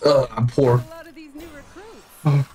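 A man's voice: mid-range voice, flat delivery, extremely slow, darkish tone. A man from Denmark playing a card game over voice chat, sarcastic and self-mocking. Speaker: streamer, duo partner. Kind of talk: casual gaming chat.

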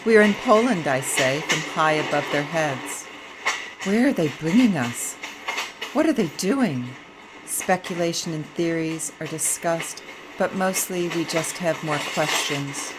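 Middle-aged woman, low voice, reads slow and thoughtful, loud train rumble behind. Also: animated. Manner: slow, reflective reading. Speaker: vlogger and author. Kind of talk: reading a memoir excerpt aloud. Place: train compartment.

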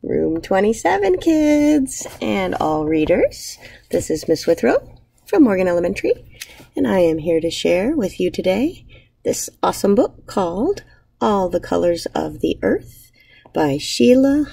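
A woman in her thirties, an elementary teacher reading a picture book aloud to children with measured, expressive storybook phrasing. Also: medium pitch, creaky, slightly rough. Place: indoors, empty school classroom.

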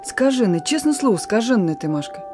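An actress playing a plucky young partisan courier in a radio drama, speaking in a familiar, teasing, warm-hearted way. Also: female voice, a little high, sing-song, brisk, over music. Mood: exasperated, teasing. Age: young adult.

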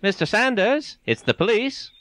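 Animated, folksy police officer. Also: male voice, high-pitched, moderate pace, noisy background. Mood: brisk, commanding. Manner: avuncular, informal. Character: folksy, patronizing male police officer, briskly take-charge. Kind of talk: audio drama acting.

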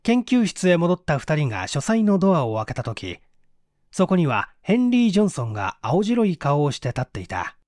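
Animated male story narrator. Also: fairly high pitch, normal speed, no room sound, studio quality. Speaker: audiobook reader, stage performer. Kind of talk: dramatic scripted story narration.

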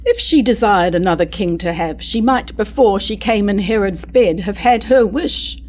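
A woman playing a queen's loyal, argumentative noblewoman mother, giving measured, formal verse in a staged reading of a tragedy. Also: mid-range voice, a little mellow, a little hiss or hum, natural voice, rough recording. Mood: firm, defensive. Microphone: stand microphone at lectern.

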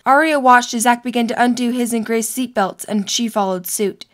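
A woman's voice: on the high side, normal speed. A woman narrating a scripted story for an audio drama.

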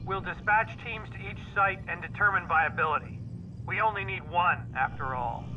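A ruthless boss played by a man gives cold, curt orders in a sci-fi game. The voice is high for a man and sounds distant and thin, like a phone line, with music behind. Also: normal speed, background noise, natural voice. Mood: cold, commanding. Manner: curt, commanding. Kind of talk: video game cutscene acting.

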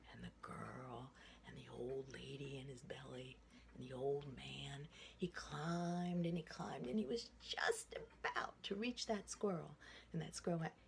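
A woman in her sixties telling a children's story in an expressive, playful, sing-song way. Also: low voice, gravelly. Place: indoors, room with wooden ceiling. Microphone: phone at arm's length. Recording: noisy home recording with room ambience.

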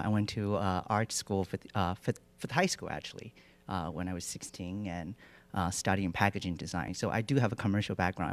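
A middle-aged man from Taiwan, giving a relaxed lecture with many fillers and dry humor into a handheld microphone in a lecture hall. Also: lowish voice. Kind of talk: lecture presentation. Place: lecture hall with projection screen.

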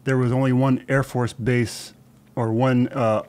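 A man in his forties with a mid-range voice talks in an unhurried, reflective way, often pausing to restart a thought. He sits at a desk mic in a podcast studio. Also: mid-range voice, little pitch movement, darkish tone, smooth. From born in Thailand, raised in Southern California. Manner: measured, thoughtful, recalls details with small restarts. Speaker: guest. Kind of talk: relaxed conversational storytelling. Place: podcast studio with shelves and plants. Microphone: studio desk mic with headphones.

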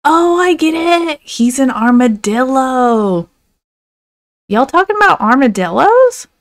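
A woman voicing silly, excitable animals with a gushing, easily confused manner in a children's storybook. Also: high-pitched, takes it slowly, full character voice. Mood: curious.

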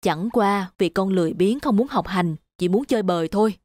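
A woman's voice: mid-range voice, brisk, darkish tone, dry recording, close to an everyday voice. A woman voicing a stern, demanding middle-aged father in a cartoon, with a firm, lecturing manner. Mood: stern, angry. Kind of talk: cartoon dubbing.

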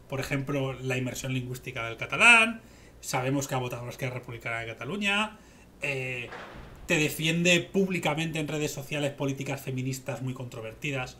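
A man with a Spanish accent from Spain and a slightly high voice talks politics in a rambling, emphatic way. He repeats himself as he argues casually. The home-stream recording carries some light noise behind him. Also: sharp tone. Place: small room at home, video call.

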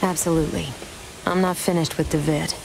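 Low-voiced, resolute frontier woman. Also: fairly even intonation, brisk, creaky, noisy background. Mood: confident, wry. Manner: firm, resolute, wry. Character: determined, grieving young frontier woman rancher. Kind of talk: dramatic video game acting. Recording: music behind the voice.

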